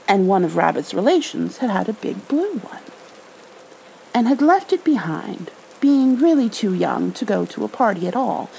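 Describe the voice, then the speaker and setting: A woman narrating a storybook in a gentle ASMR style, expressive and unhurried. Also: mid-range voice, creaky, rough recording. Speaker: ASMR roleplayer reading a storybook. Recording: faint background noise.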